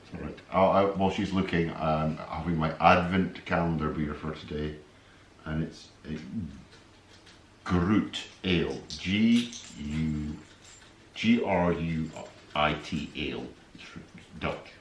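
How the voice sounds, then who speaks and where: Deep-voiced Scottish man, dry humour. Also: slow pace, a little hiss or hum. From Scotland. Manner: dry, laconic joking. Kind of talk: casual chat. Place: home room. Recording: echoey room.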